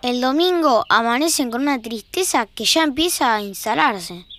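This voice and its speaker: A woman's voice: a little high, animated, moderate pace, fairly bright tone, a little hiss or hum. A woman acting as the wry narrator of a family audio drama. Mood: wry, intimate. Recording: music under the voice.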